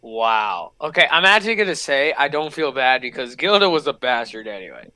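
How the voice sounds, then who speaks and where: A man with a bright, forward voice and middling pitch reacts casually to a story. He is blunt, swears freely and repeats himself, and the sound is close and dry. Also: big pitch swings, brisk, no room sound. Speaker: reaction video host. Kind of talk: casual spoken reaction commentary.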